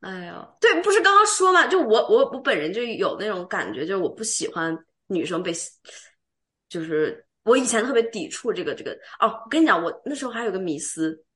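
A woman's voice: medium pitch, brisk. A woman with a youthful, sweet voice, chatting animatedly and casually with friends as a podcast host. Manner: animated, talkative, teasing banter. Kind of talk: casual chat among friends.

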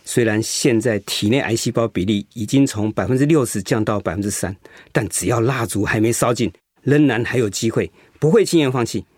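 A man playing an earnest, grateful recovering patient in a radio drama, speaking in a reflective, measured way. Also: medium pitch, a little mellow, husky, dry recording, clean studio sound, natural voice. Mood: determined.

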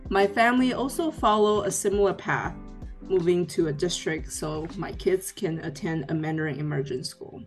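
A woman hosts a podcast, opening the episode and welcoming her guest in a friendly, measured way. Her voice sits a touch low, with light music faintly behind. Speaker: podcast host.

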